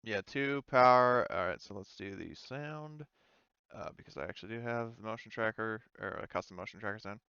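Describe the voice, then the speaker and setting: A man's voice: lowish voice, fairly quick, creaky, bright, forceful tone, smooth, dull audio. A man speaking casually as game master of a tabletop roleplaying game, improvising his narration.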